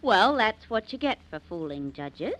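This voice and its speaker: A woman's voice: medium pitch, very animated, unhurried, a bit bright. An actress playing a spirited, reproachful young woman with a pointed, scolding manner in a 1940s radio drama. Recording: faintly noisy 1940s radio recording.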